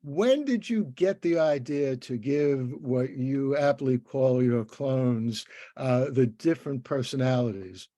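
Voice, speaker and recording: Older man, mellow tone, dry close sound, interviewer, conversational, halting, repeats words. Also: mid-range voice, no echo. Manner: hesitant, stammering with repeated words.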